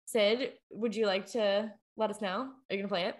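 A woman's voice: medium pitch, fairly even intonation, normal speed. A young adult woman chatting casually as the host of a podcast. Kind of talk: casual chat.

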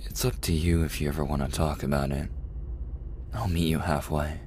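Guy playing soft caring boss in boyfriend asmr, super deep voice, slow and gentle, bit of background noise. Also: steady tone. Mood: serious, caring. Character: concerned, protective male boss, softly caring. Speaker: voice actor in boyfriend audio roleplay. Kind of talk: intimate ASMR roleplay acting.

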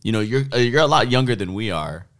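Brief: Hawaii-raised co-host, easygoing interviewer, fairly low voice. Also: male voice, animated, quick pace, a bit bright. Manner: laid-back, interviewing. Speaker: podcast co-host.

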